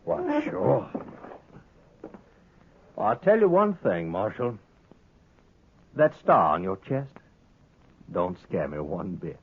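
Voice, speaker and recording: An actor playing a sly, brash saloon-owning gambler who needles others in a Western American accent, in a 1950s radio western. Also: male voice, lowish voice, big pitch swings, takes it slowly, pauses often, phone-quality sound, a little hiss or hum, exaggerated voice. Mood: needling, defiant. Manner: cocky, needling, talkative. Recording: thin old radio broadcast recording.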